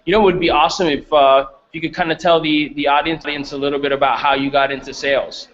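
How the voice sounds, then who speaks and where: A man hosting a casual interview, speaking in halting phrases with repeated words. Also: fairly high pitch, level tone, a bit bright, lo-fi sound. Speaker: interviewer, host.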